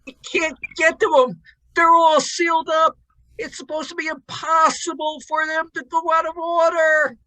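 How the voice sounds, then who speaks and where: An actor playing a gruff, jokey married workman with an American accent and folksy slang, in a radio drama. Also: very high-pitched, little pitch movement, normal speed, sharp tone, rough recording. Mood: alarmed. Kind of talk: old-time radio drama acting.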